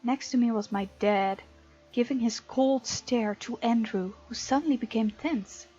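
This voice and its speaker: Playful high-voiced woman doing a let's play. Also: unhurried, husky. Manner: playful, reacts out loud to characters. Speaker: gaming video host. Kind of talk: let's play, reading game text aloud with casual reactions. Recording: slightly dull sound, music behind. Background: game music.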